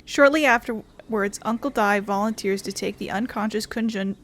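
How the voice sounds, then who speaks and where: A woman's voice: mid-range voice, moderate pace, fairly bright tone, slight background noise. A woman reading game dialogue aloud as she plays. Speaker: gameplay video creator.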